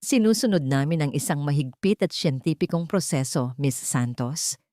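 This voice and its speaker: A woman's voice: low-pitched, animated, normal speed, dark tone, no room sound, clean studio sound. A woman voicing a cold, haughty female therapist who speaks in a clipped, condescending way.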